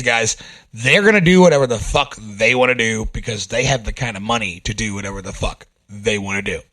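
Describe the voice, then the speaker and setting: Gravelly, forceful man with a head cold. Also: fairly high pitch. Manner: blunt, profane, preachy. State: head cold, sniffly and rough. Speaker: metal radio host. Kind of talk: casual radio monologue.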